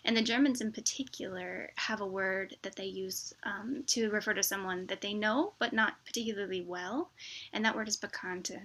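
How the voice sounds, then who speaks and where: A woman with an American accent and a very bright, forward voice at an average pitch. She talks informally to her viewers like a vlogger, explaining her points through everyday examples. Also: steady tone, normal speed. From United States (Wichita, Kansas). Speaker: vlogger, expat presenter. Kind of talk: informal explanatory talk to camera.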